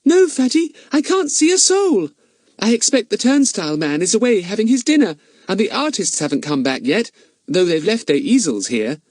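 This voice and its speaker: An eager, easily thrilled young girl speaks in a high, breathless storybook voice, put on by a man reading aloud. Her pitch leaps around with excitement, and the sound is close and dry. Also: moderate pace, no room sound. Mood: reporting. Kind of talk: audiobook character voice.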